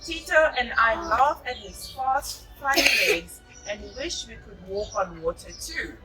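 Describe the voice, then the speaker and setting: A woman's voice: fairly high pitch, animated, measured pace, music in the background. A woman reading a picture book aloud to children, patient and encouraging, adding chatty asides and echoing what they say. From South Africa. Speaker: storybook reader.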